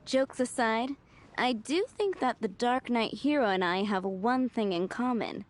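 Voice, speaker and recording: A woman's voice: a little high, a little slow, lightly acted. An actress playing a playful young woman who runs a tavern, in a video game. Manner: playful, joking and teasing.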